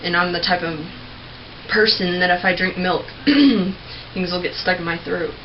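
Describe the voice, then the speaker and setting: A young woman giving a casual, rambling tutorial on screaming technique, clearing her throat often. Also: on the low side, fairly even intonation, a little slow. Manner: chatty, rambling, with many filler words and throat clearing. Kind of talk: casual home tutorial video. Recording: dull lo-fi home recording with faint noise.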